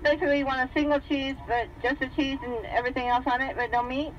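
Fast, high woman on muffled intercom. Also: steady tone. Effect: drive-thru intercom. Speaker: drive-thru order taker. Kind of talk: customer service order taking. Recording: muffled intercom, faint noise.

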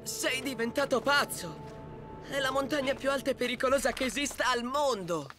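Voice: sits high, animated, normal speed, over music. A performer voicing a boastful, playful young male tiger cub in a children's cartoon, with a cocky manner. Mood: alarmed.

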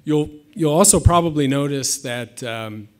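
A man's voice: a little high, moderate pace. A man in his thirties giving a conference presentation from a stage podium, with light humor and frequent fillers, speaking English as his first language. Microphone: podium mic.

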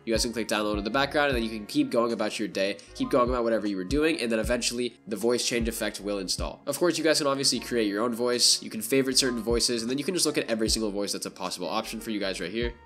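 Fast-talking young man over soft music. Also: mid-range voice. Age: young adult. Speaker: YouTuber. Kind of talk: scripted tutorial narration.

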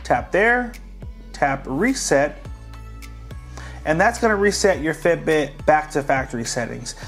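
A man hosting a tech tutorial, calmly narrating each step of a device reset in a casual, friendly way. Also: fairly high pitch, unhurried, soft background music. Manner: friendly, relaxed, walks viewers through each step.

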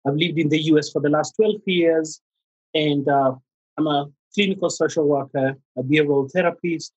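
A middle-aged man with a Kenyan accent, a therapist speaking as a podcast guest, pausing often with fillers and restarts. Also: medium pitch, a little mellow, breathy edge, dull audio. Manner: halting, many fillers and restarts. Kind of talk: conversational interview.

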